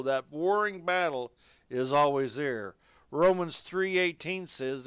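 A man delivers a radio sermon at an unhurried pace in a dry, close sound. His voice is very clean and resonant. He reads scripture and exhorts listeners directly, in homespun, colloquial language. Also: fairly high pitch, smooth, no echo. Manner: folksy, colloquial phrasing. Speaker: radio preacher.

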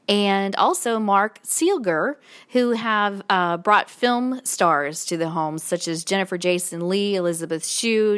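A woman's voice: mid-range voice, a bit bright. A woman hosting a podcast in a chatty interviewing style. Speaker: podcast host.

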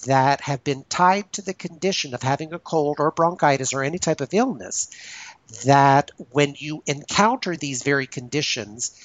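A man hosting a casual discussion, explaining his ideas in a thoughtful, measured way. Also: fairly high pitch, a bit bright, low-quality recording. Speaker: podcast host.

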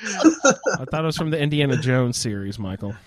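A man moderates a casual podcast roundtable. His voice sits at a middle pitch and is recorded very dry, with no room sound around it. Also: big pitch swings, moderate pace, no room sound.

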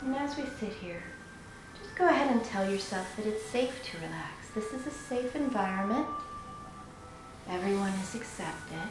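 Female meditation leader, soothing and unhurried, phrase-by-phrase delivery, strongly reverberant sound over clear music. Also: mid-range voice, lively intonation. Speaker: meditation guide. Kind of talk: guided meditation, slow instruction. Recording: very echoey room with music.

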